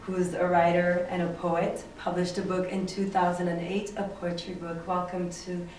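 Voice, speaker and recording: A woman's voice: on the low side, little pitch movement, moderate pace, darkish tone. A woman hosting an interview show, friendly and guiding the talk with questions. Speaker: show host. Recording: echoey room, mic far off, noisy.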